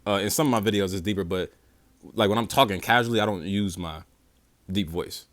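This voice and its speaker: A man's voice: lowish voice, brisk, fairly bright tone, no room sound. A man hosting a reaction video, chatting casually with slang and stammered restarts. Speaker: reaction channel host.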